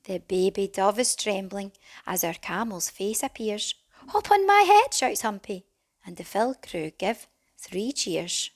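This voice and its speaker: A woman reading a rhyming children's storybook as a teacher would, dramatic and animated, with some hushed phrases. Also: mid-range voice, a little slow. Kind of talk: expressive children's storybook narration in rhyme.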